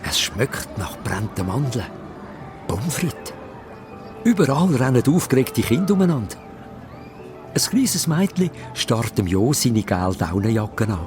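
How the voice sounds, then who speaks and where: A Swiss German man tells a children's story in a medium-pitched voice that swings widely in tone. Music plays clearly behind him as he narrates the scripted tale. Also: sing-song, measured pace. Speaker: narrator of a children's audio drama.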